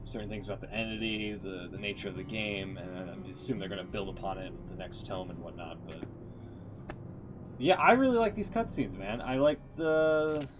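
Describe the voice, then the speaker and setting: Male streamer, lowish pitch, informal off-the-cuff game commentary, rambling delivery, music clearly behind. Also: normal speed. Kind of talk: casual live-stream commentary.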